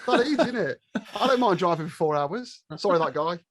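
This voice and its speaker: A man's voice: high-pitched, expressive, a little fast, no room sound, clean studio sound. A man with a British accent chatting casually as a podcast guest, sweary and cheeky with a joking manner.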